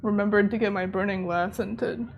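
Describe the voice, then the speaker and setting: A slightly hoarse woman chatting casually to her viewers while she plays a game, rambling and laughing as she goes. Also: medium pitch, steady tone, brisk, muffled recording, a little hiss or hum. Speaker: streamer. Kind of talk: casual live-stream chat while gaming. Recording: game music playing behind.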